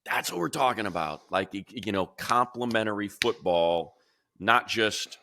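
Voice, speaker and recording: A male radio play-by-play announcer in casual sports talk, animated and talking in quick bursts with restarts. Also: lowish voice, gravelly, dry recording, clean studio sound. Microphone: video call.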